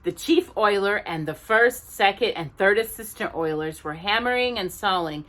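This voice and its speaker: A woman narrating a children's storybook expressively, with deliberate, drawn-out emphasis aimed at small children. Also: mid-range voice, expressive, a bit bright.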